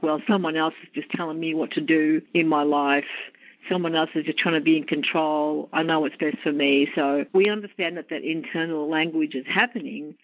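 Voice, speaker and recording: A woman with an Australian accent, speaking as an education coordinator in a podcast interview, explaining her work in an earnest, measured way. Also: sits low, dry recording, muffled recording. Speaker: education coordinator at a maternity home.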